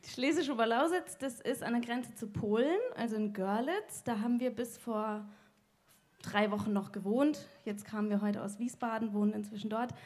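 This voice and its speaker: A woman's voice: fairly high pitch, moderate pace, a little mellow. A woman from the southern Palatinate in Germany, a guest speaker chatting casually in a stage interview.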